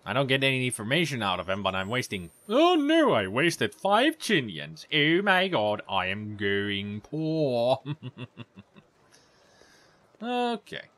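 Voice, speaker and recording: A man's voice: mid-range voice, huge pitch swings, slow, fairly bright tone, no echo. A man giving casual, rambling commentary over gameplay, with sarcastic asides. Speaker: let's play commentator.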